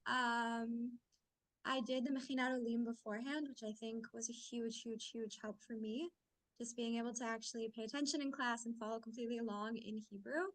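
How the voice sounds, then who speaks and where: A woman's voice: a little high, level tone, brisk, poor audio. A young woman giving casual answers as a student panelist over a video call. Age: young adult.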